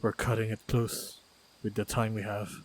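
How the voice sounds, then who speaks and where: A man playing a calm, modest human swordsman and loyal companion in a fantasy action audio roleplay, speaking in measured, low-key short phrases. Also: slight background noise, lightly acted. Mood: calm, reflective.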